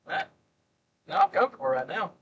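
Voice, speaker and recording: A man's voice: very high voice, huge pitch swings, a little fast, vocal fry, husky, lo-fi sound. A young man chatting casually and joking with passengers while driving, hosting a storm chasing livestream. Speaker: storm chaser host. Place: inside a car.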